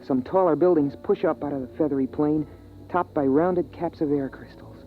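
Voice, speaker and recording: A man's voice: on the high side, normal speed, close to an everyday voice. A young male actor playing an earnest, wondering boy in a 1950s radio drama, with plain, homespun phrasing. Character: earnest, wondering young boy narrating a bleak frozen world. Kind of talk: 1950s radio drama acting and first-person narration. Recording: tinny vintage radio recording with music.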